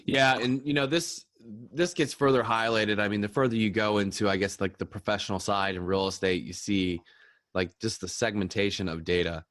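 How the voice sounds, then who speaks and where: Low-voiced man hosting a podcast interview. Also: fairly even intonation, a little fast, fairly bright tone, no room sound, clean studio sound. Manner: casual and chatty, joking, laughs easily. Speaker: podcast host. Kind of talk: casual interview chat.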